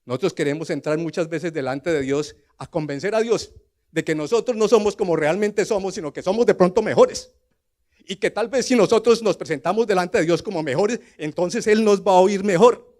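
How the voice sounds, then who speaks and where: A man in his sixties with a Colombian accent preaching a sermon, telling scripture as a dramatic story and moving between hushed asides and loud, emphatic declarations. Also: high-pitched, fairly quick. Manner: rhetorical questions to the congregation.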